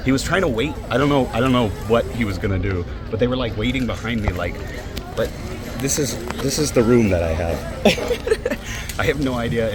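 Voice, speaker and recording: Soft-spoken man vlogging in a crowded park. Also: on the low side. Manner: chatty, rambling, frequent soft laughs. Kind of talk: casual vlog chat. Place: crowded theme park outdoors. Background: loud crowd noise and park music.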